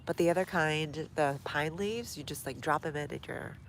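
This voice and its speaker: A low-voiced woman with a stuffy nose, chatting casually to viewers while she works in her outdoor garden. She reads comments aloud and talks through each step as she goes. Faint outdoor ambience sits under her phone-camera audio. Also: moderate pace, a little hiss or hum. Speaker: gardening livestream host.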